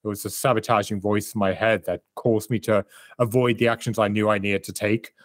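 A low-pitched man in his thirties, from South Africa, talks about his life with candid reflection. He is a coach and author calling in to an interview. The sound is dry and close. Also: steady tone, fairly quick, dry recording. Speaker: guest, performance coach and author. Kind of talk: remote interview answers.

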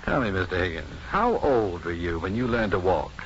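An actor playing an urbane, self-assured doctor in a 1950s radio drama, articulate, dry and probing. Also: male voice, low voice, sing-song, normal speed. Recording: dull, noisy 1950s radio recording.

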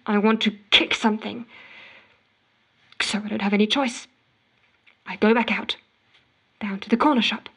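A woman's voice: mid-range voice, natural voice. An actress playing an exasperated, frantic office worker, telling her woes melodramatically in an audio drama voicemail monologue. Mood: indignant, fed up. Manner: melodramatic, indignant storytelling with sarcastic quoting. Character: exasperated, frantic office woman ranting to a colleague.

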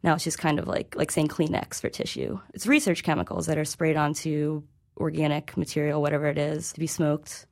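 A woman's voice: low voice, brisk, creaky. A woman reporter speaking as an interview guest, explaining informally in a hesitant, conversational way.

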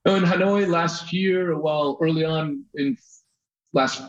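An American man in his thirties, a photographer guest telling his story in a relaxed chat with frequent restarts. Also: fairly high pitch, slow. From USA. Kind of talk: casual interview chat. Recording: dull lo-fi audio.

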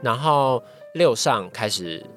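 A man's voice: medium pitch, animated, slow, fairly bright tone, soft background music. A young man with a Taiwanese Mandarin accent chatting casually as a podcast guest, recounting experiences a little hesitantly with repeated phrases. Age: young adult.

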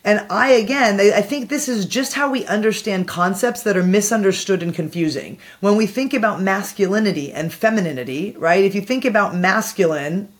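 Low-voiced woman thinking aloud. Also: fairly quick, few pauses. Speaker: podcast guest. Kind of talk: reflective conversational explaining.

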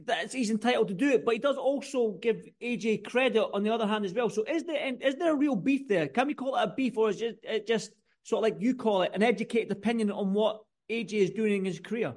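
A man's voice: high voice, fast. A man interviewing in a casual sports style, feeling his way through wordy questions and rephrasing them as he goes.

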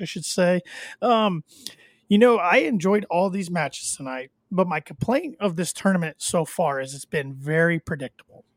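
A man with a cold co-hosting a podcast, his voice a touch nasal and set fairly high for a man. He chats loosely, wanders off on tangents and restarts sentences. The recording is close and dry, with almost no room sound. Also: no echo. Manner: chatty, rambling with filler words and restarts. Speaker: podcast co-host. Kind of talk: casual wrestling review chat.

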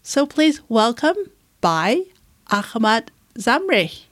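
A woman's voice: a little high, sing-song, takes it slowly. A woman hosting an interview, reading a scripted introduction, then asking questions as an attentive, encouraging listener. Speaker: podcast host.

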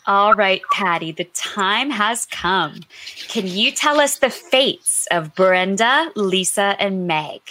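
A woman's voice: medium pitch, expressive, takes it slowly, bright, forceful tone, poor audio. A woman co-hosting a casual live chat, with some noise and clicks on her audio. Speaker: co-host.

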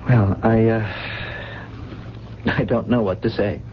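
An actor playing a weary, gentle amnesiac in a radio drama, with formal, educated diction and a halting, pensive delivery. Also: male voice, lowish voice, steady tone. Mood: uncertain. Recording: dull, noisy 1970s radio recording.

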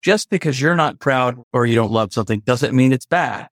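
A man's voice: mid-range voice, expressive, moderate pace, fairly bright tone, no room sound, clean studio sound. A man from the US East Coast, a podcast guest, talking earnestly and reflectively in a casual interview, stopping to add clarifications. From East Coast of the United States. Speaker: podcast guest, culture consultant.

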